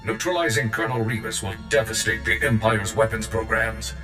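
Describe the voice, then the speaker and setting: Proud, deep-voiced combat droid. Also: male voice, completely flat delivery, fairly quick, sharp tone. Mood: zealous. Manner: formal, precise, earnest. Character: proud, zealous, loyal military combat droid. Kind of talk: scripted video game acting. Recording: game cutscene with echo and music.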